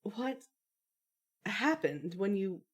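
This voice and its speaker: A woman voicing an emotional young woman in love, with a husky voice, in an audio drama. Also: medium pitch, sing-song, drawn-out words, heavily acted. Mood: soft, hesitant. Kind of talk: audio fiction acting.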